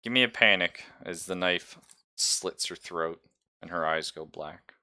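A man's voice: low-pitched, measured pace, sharp tone. A man casually narrating a tabletop roleplaying game as game master, in a chatty, conversational way.

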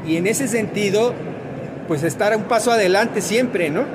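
Hombre mexicano, voz aguda, entusiasta, ruido fuerte de feria. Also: male voice, high voice, normal speed. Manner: enthusiastic, pointing things out to viewers. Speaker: vlogger, host. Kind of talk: casual demo chat at a trade fair. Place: busy trade fair hall. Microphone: handheld phone camera. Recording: loud crowd noise around.

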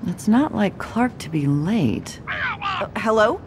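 An actress playing a brisk, impatient reporter in a video game. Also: female voice, on the high side, wildly swooping pitch, exaggerated voice. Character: brisk ambitious female news reporter. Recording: game audio with room noise. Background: ambient noise.

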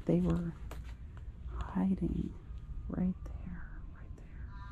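A woman quietly narrating her shopping trip for a reseller vlog, half whispering as she browses an antique mall. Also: on the low side, level tone, very slow, gravelly, narrow, tinny audio. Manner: hushed, half-whispered remarks while browsing. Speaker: reseller vlogger. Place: indoors in a large antique mall. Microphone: body-worn action camera.

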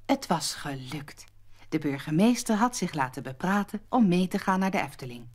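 A woman's voice: on the low side, animated, moderate pace, darkish tone. A woman narrating a children's story from a script as its storyteller.

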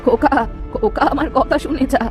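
A woman's voice: sits high, moderate pace, darkish tone, faint music behind, close to an everyday voice. A woman voicing a caring older village mother in a cartoon folktale, soothing, pleading and protective. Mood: worried, pleading. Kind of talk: fairy-tale cartoon acting.

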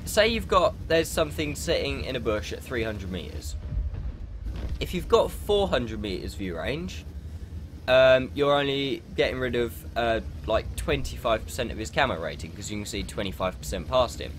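A man's voice: mid-range voice, big pitch swings, normal speed, a little hiss or hum. A young man with a stuffy nose from a cold, casually commenting over a game replay and explaining tactics with lots of ums. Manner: rambling, explanatory, many ums. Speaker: gaming channel host commenting a replay.

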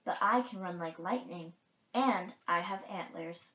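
A proud, boastful young buck from a storybook, read by a woman. The delivery is firm, confident and unhurried, in an echoing room. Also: mid-range voice, animated, a bit bright, recorded from a distance. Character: boastful young male deer, strong and confident. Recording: roomy, echoing space.

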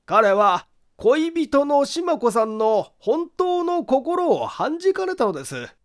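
Man acting a very high-voiced, confiding gentleman. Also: big pitch swings, heavily acted. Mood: earnest, explanatory. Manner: polite, deliberate, confiding. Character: grave, secretive man calmly arguing a friend's innocence. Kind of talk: audiobook mystery story character acting.

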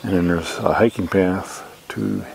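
A middle-aged man with a low, creaky voice narrates a casual tour outdoors. He talks matter-of-factly and pauses as he searches for words. A handheld camera mic picks up some faint ambient noise around him. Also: fairly even intonation. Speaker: campground reviewer and vlogger. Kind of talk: casual unscripted walk-through narration. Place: outdoors at a lakeside campground. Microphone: handheld camera mic, operator off screen.

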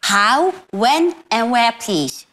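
Woman putting on a high-voiced, naive Japanese interviewer. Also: big pitch swings, a bit bright, exaggerated voice. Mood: naive, insistent. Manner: halting broken English, repeats phrases, mock-serious. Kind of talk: comic prank interview. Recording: very noisy, muddy background.

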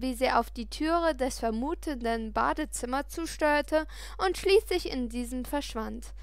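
A woman reads a story aloud in the first person with an expressive delivery. Her voice is high and bright, and the sound is dry and close. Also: no echo. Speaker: audiobook narrator.

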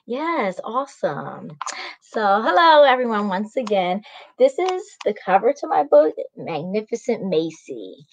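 A woman's voice: mid-range voice, big pitch swings, unhurried. A woman in her thirties, a children's author reading expressively on a video call from a small room. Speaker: children's author, guest reader. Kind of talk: storybook read-aloud.

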